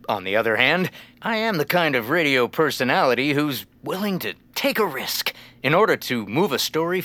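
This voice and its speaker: A man's voice: a little high, a bit bright. A man playing a pompous, self-important radio host in a family audio drama, with a theatrical announcer delivery.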